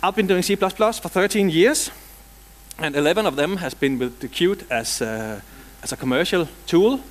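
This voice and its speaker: A man's voice: sits high, lively intonation, normal speed. Danish man giving a joking tech talk. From Denmark. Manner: dry joking, casual asides. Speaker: conference speaker. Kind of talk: technical conference talk. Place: large conference hall.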